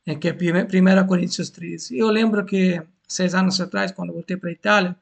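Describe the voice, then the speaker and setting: A man from Italy with an Italian accent, giving an informal Bible lesson in a halting, repetitive way with frequent tag questions. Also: high voice, steady tone, gravelly. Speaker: Bible teacher. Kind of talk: informal Bible teaching to camera.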